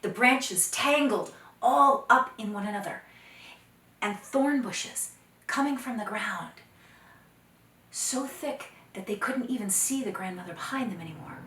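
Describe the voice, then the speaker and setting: A woman telling a folktale to children, dramatic, expressive and deliberate, sometimes dropping to hushed phrases. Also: medium pitch. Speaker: storyteller. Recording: roomy echo, distant microphone.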